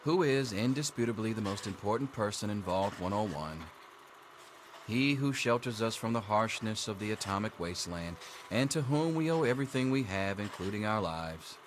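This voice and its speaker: An actor playing a dry, sarcastic schoolteacher running an exam in a video game. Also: male voice, fairly low pitch, animated, moderate pace, a little hiss or hum, lightly acted. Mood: droll, reciting. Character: sardonic male vault schoolteacher giving an exam. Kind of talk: scripted video game character dialogue.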